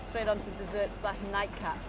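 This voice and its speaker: A woman's voice: mid-range voice, level tone, moderate pace, over music. A woman from the UK sharing thoughtful, descriptive tasting notes as a beer expert guest in a casual chat. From United Kingdom.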